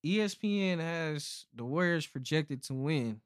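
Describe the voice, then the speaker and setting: A man's voice: a little high, animated, unhurried, smooth, no room sound, studio quality. A man in a casual sports chat who argues assertively and keeps repeating his points.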